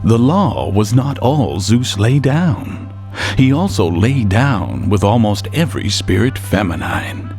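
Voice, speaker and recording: An actor playing a hip, playful narrator of Greek myths in a radio drama, with theatrical rhyming delivery. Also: male voice, on the low side, big pitch swings, slow pace, a little mellow, full character voice. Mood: sly, playful. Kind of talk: rhythmic rhyming radio storytelling over music. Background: music.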